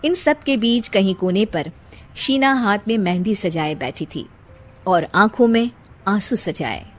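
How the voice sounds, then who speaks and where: A woman's voice: medium pitch, lively intonation, measured pace. A woman reading scripted narration for a family moral-story cartoon.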